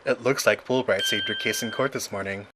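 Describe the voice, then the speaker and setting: A man's voice: on the low side, brisk, noisy background, close to an everyday voice. A man in a game fan dub playing a cool, curt prosecutor with dry, cutting sarcasm. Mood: sardonic. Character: cool, sardonic male prosecutor prisoner, curt and composed.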